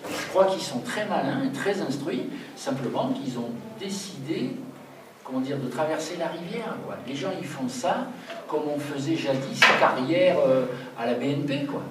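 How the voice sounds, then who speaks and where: A man's voice: fairly high pitch, expressive, normal speed. Emphatic man lecturing in a roomy hall. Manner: emphatic, argues point by point. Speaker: speaker leading a public talk. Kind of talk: public talk and discussion. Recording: roomy hall, distant mic, noisy.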